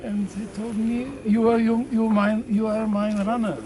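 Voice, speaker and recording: A man, giving halting spoken testimony outdoors, often repeating words as he searches for phrases. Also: sits high, flat delivery, crowd noise. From Poland. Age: 80s. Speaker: survivor interviewee. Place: outdoors at a memorial site. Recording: outdoor noise in background.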